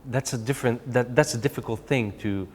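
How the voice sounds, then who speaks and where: A man's voice: medium pitch, brisk, a little mellow, breathy edge, in a large hall. A man, answering interview questions hesitantly, with many fillers. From Sweden. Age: 20s. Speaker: guest. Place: TV studio.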